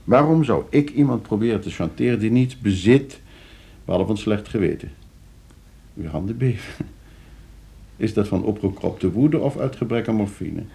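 A smug, scheming lawyer in a radio drama. His Netherlands Dutch is suave and condescending, swinging widely in pitch as he repeats himself with false hospitality. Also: animated, moderate pace, vocal fry, mellow. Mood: needling, smooth. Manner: suave, hesitant repetitions, condescending. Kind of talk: radio play acting.

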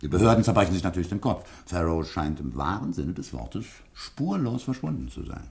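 A deep-voiced, dry man lays out the facts of a case in a radio drama. He is measured and mellow, with gravel in the voice and lively intonation. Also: heavily acted. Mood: matter-of-fact. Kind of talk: radio play dialogue.

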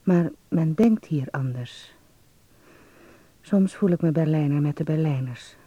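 An actor in a radio drama playing an earnest, naive young Dutch man, musing softly as he reads out his letter home. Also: on the high side, a little slow, slightly rough, dry recording. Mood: confiding. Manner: confiding, musing. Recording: dull, muffled close recording.

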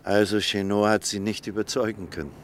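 An actor playing a morally doubtful doctor in a German radio play, serious, searching and intense in manner. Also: male voice, on the low side, level tone, fairly quick, a little hiss or hum, lightly acted. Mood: pleading, subdued.

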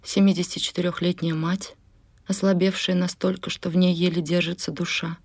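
Tired mom leaving the city, lowish voice, dry. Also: female voice, level tone, brisk, a little mellow, no echo. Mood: sober. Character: exhausted mother fleeing a besieged city.

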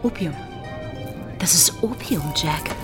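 A woman's voice: deep voice, lively intonation, takes it slowly. An actress playing a level-headed, wary female pirate in a German radio drama, speaking firmly and earnestly. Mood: worried, urging. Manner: firm, earnest. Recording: studio radio drama with loud music.

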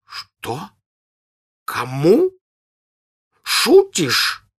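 A man's voice: mid-range voice, very animated, slow pace, gravelly, pitched above the performer's own voice, full character voice. A jovial old count who easily gets flustered, crying out and then faltering helplessly in a storybook reading, as a man acts him. His voice jumps around a lot. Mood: shocked.

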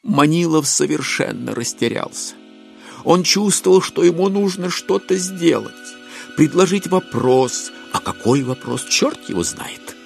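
A man narrating a literary audio drama in an expressive, wry storytelling style. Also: on the high side, a little slow, creaky, faint music behind.

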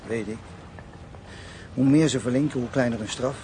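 An actor playing a cautious, ruthless drug gang boss in a crime radio drama, with a creaky voice and a blunt, scheming manner. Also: male voice, medium pitch, normal speed, mellow, close to an everyday voice. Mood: cold scheming. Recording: faint background noise.

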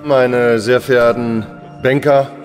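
An actor playing a solemn bank president in a radio drama, giving a stately, formal ceremonial speech. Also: male voice, mid-range voice, steady tone, slow, faint music behind, close to an everyday voice. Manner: oratorical, stately, addresses audience formally.

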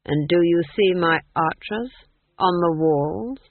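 A woman's voice: on the low side, slow, dry recording, phone-quality sound. A woman voicing an unhinged male duke in an audiobook, pompous and falsely cheerful, with a wheedling manner that swings in mood. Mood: smug menace.

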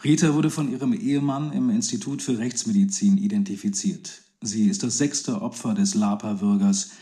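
A man's voice: lowish voice, normal speed, mellow, close to an everyday voice. An actor playing a sober, formal male news announcer in a radio drama. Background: music.

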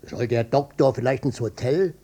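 An older man playing a stubborn, defensive widowed father in a radio drama, blunt and colloquial, with a gravelly, husky voice. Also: medium pitch, moderate pace, mellow, close to an everyday voice. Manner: blunt, colloquial, swinging between offhand and touchy. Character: gruff widowed old father, stubborn, defensive, matter-of-fact.

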